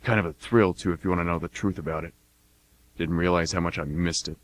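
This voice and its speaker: Low male voice, acted, blunt war veteran turned mercenary, folksy, cocky, self-justifying, radio play monologue. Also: steady tone, quick pace, no room sound, natural voice. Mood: matter-of-fact, boastful. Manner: folksy, plain-spoken, cocky.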